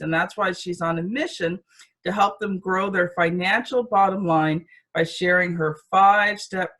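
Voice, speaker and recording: A woman's voice: fairly low pitch, fairly bright tone. A woman speaking as a podcast host, introducing the show and chatting with a guest. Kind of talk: podcast introduction and interview chat.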